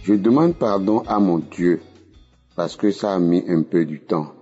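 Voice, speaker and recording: A man in his sixties from Benin, giving a spoken testimony in a slow, emphatic way that repeats phrases. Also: low-pitched, warm tone, husky, muffled recording, faint music behind. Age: 60s. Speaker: testimony caller.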